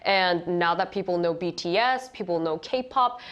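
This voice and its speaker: A woman's voice: fairly low pitch, moderate pace, fairly bright tone, dull audio. Chatty young woman, laughing between remarks. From Morocco. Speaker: panelist. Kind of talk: casual panel chat.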